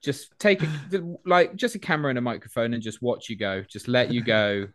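A man's voice: medium pitch, very animated, a bit bright. Teasing, playful podcast co-host man. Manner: playful teasing banter, quick comic riffs. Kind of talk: casual chat.

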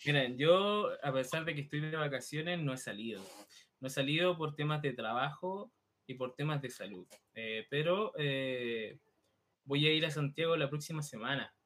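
A young man from Chile with a Chilean accent, chatting and explaining slowly with many fillers on a podcast. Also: on the high side, fairly bright tone. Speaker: podcast co-host. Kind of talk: casual chat.

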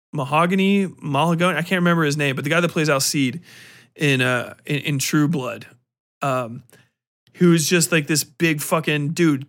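Male podcast host, slightly high voice, loose and rambling delivery, animated, swears freely, slangy everyday talk. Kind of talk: casual rambling film commentary.

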